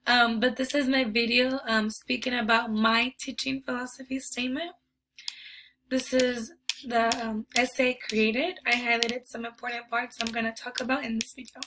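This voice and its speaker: A woman's voice: a little high, little pitch movement, normal speed, bright, forceful tone, slight background noise, poor audio. A young woman, a student teacher, losing her voice, talking casually with lots of ums and likes about her teaching philosophy. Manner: chatty, many ums and likes. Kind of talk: casual presentation video.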